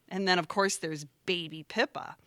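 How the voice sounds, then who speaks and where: A woman's voice: fairly low pitch, unhurried, bright, forceful tone, no room sound, clean studio sound. A woman playing a resentful, competitive, overdramatic grown sister in an audio drama, sarcastic and aggrieved. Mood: bitter, triumphant. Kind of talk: audio theatre family comedy-drama acting.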